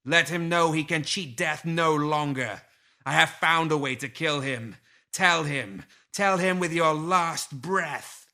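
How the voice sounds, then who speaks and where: A man voicing a vengeful female demon leader, seductive and menacing, with theatrical drawn-out threats in a storybook reading. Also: fairly high pitch, pitched above the performer's own voice. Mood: vengeful rage. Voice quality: soft, seductive.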